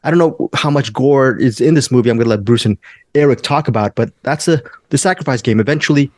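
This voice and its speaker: A man hosts a casual film review discussion. His voice sits at a medium pitch and is recorded dry, with very little room in it. He talks conversationally, steering the chat toward his co-hosts. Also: a little mellow, dry recording. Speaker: host of a film review show.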